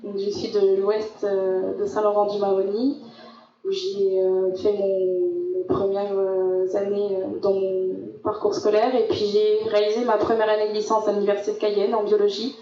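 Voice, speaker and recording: A young woman from French Guiana, a master's student, describing her studies in a steady way with fillers. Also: mid-range voice, moderate pace. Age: young adult. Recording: dull roomy sound from far off.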